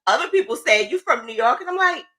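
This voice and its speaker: A woman from New Jersey chatting casually on a livestream, asking questions and laughing easily. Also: high-pitched. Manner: lively, curious, quick to laugh. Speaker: livestream host.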